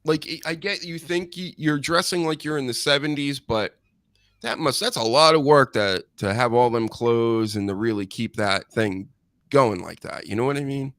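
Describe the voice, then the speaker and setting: A man's voice: medium pitch, normal speed, a bit bright, dry recording. An American man hosting a casual wrestling podcast, joking and rambling, often laughing. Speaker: podcast host.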